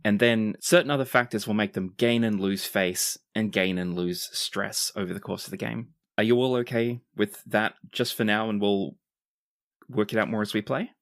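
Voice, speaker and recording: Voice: medium pitch, steady tone, normal speed. A game master explaining tabletop game rules in a methodical, explanatory way.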